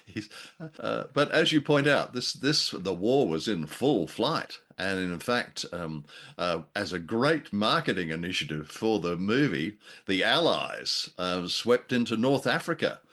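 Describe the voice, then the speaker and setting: Male podcast co-host, deep voice, jocular and wordy, casual reviewer style. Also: very animated, unhurried, a bit bright.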